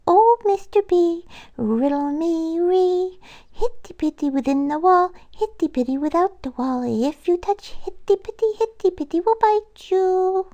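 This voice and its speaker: A mischievous young male squirrel from a storybook, put on by a woman reader, pitched far up high. He is impudent and cheeky, chanting his riddles in a teasing sing-song. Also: expressive, unhurried, dark tone, muffled recording. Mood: cheeky, taunting.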